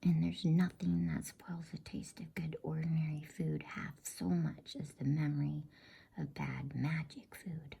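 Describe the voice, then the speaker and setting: A woman whispering softly in a gentle, unhurried, hushed ASMR style as she hosts and reads a bedtime story. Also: low-pitched, flat delivery. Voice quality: breathy, soft whisper. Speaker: host and reader of a bedtime story channel.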